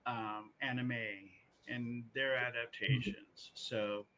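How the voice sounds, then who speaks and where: A man's voice: mid-range voice, steady tone, takes it slowly, bright, forceful tone. A man chatting casually with friends as a podcast co-host about films and games.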